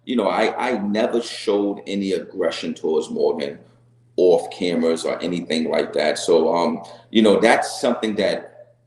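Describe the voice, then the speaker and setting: A middle-aged man with a deep voice, answering interview questions earnestly, often restarting and asking "right?". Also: moderate pace, a little mellow, echoey room. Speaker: reality show guest.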